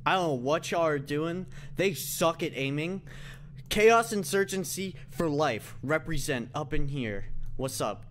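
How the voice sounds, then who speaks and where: A man's voice: a little high, lively intonation, a little slow, fairly bright tone, a little hiss or hum. A man playing a video game, trash-talking over an intercom in a brash, profane, taunting manner.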